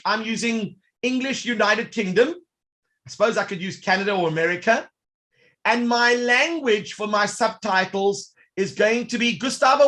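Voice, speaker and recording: A man presenting a live online workshop, demonstrating software in an upbeat, showman-like way that talks directly to the audience. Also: extremely high pitch.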